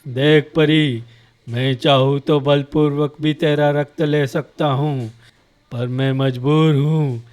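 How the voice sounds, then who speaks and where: A man voicing a wicked, menacing male demon in a cartoon story, delivering slow, booming threats. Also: fairly even intonation, darkish tone, smooth. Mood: scheming.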